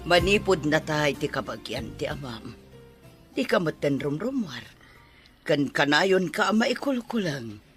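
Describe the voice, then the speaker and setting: A woman's voice: low voice, sing-song, measured pace, faint music behind. A woman playing a worried, questioning mother in an audio drama, fretful and probing, with a northern Philippine accent.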